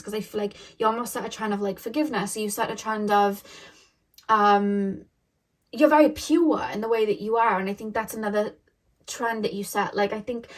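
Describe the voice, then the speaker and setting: Chatty young woman giving a tarot reading. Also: mid-range voice. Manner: rambling, many fillers and self-corrections. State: voice getting shaky. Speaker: tarot reader. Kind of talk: casual tarot reading monologue.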